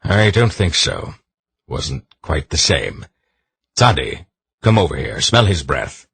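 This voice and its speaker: A man voicing a gruff old man who barks terse orders, with a husky voice. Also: rumbling low, very animated, slow, a bit bright, full character voice. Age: older.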